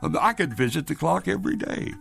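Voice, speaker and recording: A man's voice: a little high, very animated, quick pace, creaky, a bit bright, faint music behind, heavily acted. An actor playing an anxious, stubborn old grandfather in a radio drama, with a fussy, stammering, insistent way of talking. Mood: eager, fussy. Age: older.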